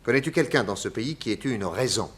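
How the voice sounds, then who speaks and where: A man's voice: medium pitch, lively intonation, fast, a bit bright, slight background noise, lightly acted. A man playing a composed, probing private detective in a 1960s French radio drama. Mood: grave, probing.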